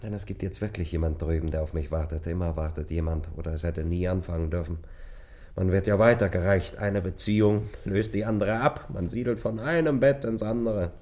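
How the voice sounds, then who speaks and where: Give the low voice of a bitter lover acted by a man in a literary radio play. He speaks in polished, eloquent phrases with a sardonic edge and theatrical intensity, pushing intimacy away. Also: steady tone, a little fast, darkish tone, natural voice. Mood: cool, evasive. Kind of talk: German radio play acting, literary dialogue.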